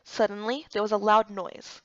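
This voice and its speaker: A woman's voice: mid-range voice, little pitch movement, normal speed, highs cut off. A woman reading scripted first-person story narration for a game playthrough.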